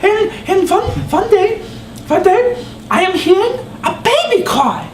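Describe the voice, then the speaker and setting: A woman's voice: very high voice, animated, measured pace. A woman playing a doting old immigrant mother who speaks broken English and tells a rambling story. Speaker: comedic storyteller. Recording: echoey room with background noise.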